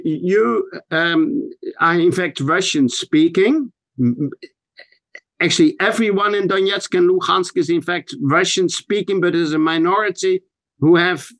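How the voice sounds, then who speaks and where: A man with a Dutch accent, a native Dutch speaker, interviewing in English; he speaks haltingly and repeats words while searching for his phrasing. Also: fairly high pitch, a bit bright, no room sound. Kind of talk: conversational interview.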